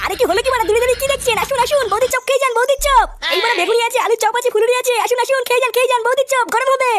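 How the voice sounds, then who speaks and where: A woman voicing an excitable, foul-mouthed male fritter seller in a comedy cartoon, with repetitive, crude patter. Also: extremely high pitch, rapid-fire, no echo. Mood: hawking. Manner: repetitive hawking, crude banter.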